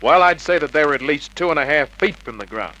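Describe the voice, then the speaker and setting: A man's voice: on the high side, animated, quick pace, sharp tone, dull audio. A male actor playing a wry private investigator who narrates his case in clipped, matter-of-fact Australian tones, in an old radio detective drama. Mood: dry, questioning. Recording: noisy vintage radio drama recording.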